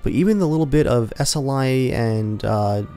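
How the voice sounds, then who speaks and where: A man's voice: mid-range voice, normal speed, soft background music. Laid-back man hosting a gaming vlog. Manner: relaxed, conversational, tech-focused rambling. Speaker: gaming channel host. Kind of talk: casual gameplay commentary.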